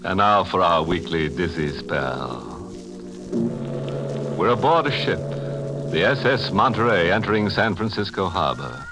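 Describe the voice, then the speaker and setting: Wry horror narrator, deep, unhurried, theatrical, vintage radio suspense. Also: male voice, big pitch swings, exaggerated voice. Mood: darkly wry. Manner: sardonic, deliberate, theatrical. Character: macabre, wry male horror show host. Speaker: host and narrator. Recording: old radio recording with music.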